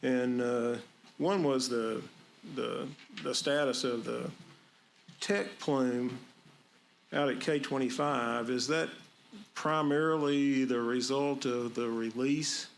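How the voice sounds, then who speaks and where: A man from the audience asks casual, halting questions at a public meeting. His voice is gravelly, with a faint hum of the room behind him. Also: medium pitch, fairly even intonation. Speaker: audience member asking questions. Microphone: speaking away from the microphone.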